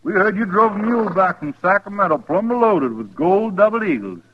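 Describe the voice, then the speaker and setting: A cocky, menacing outlaw sidekick with a rural Western American accent. His fairly high voice swings widely in pitch, on a muffled old radio western recording. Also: lively intonation, fairly quick, husky. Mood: taunting, menacing. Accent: rural American West.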